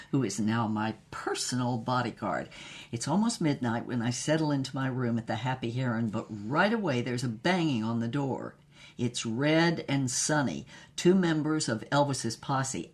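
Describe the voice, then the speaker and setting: A woman playing a worldly, brassy older former starlet who tells racy memoirs in a husky voice, wryly and unhurriedly, with dramatic pauses. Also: extremely low pitch. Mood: sultry, dramatic. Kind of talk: comic scripted memoir narration.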